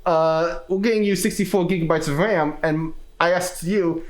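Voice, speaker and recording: A man's voice: on the high side, fairly even intonation, normal speed. A man hosting a casual vlog of a computer build with friends, joking around and laughing easily. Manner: playful, jokey, laughs easily. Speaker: video editor and channel host. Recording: roomy sound with music behind.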